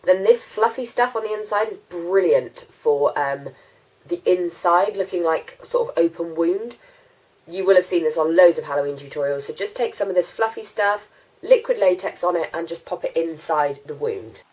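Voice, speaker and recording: A woman's voice: on the low side, moderate pace, darkish tone, poor audio. A young woman with a congested, stuffy voice from a cold, chatting casually through a makeup tutorial, with lots of ums and likes. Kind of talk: casual how-to chat.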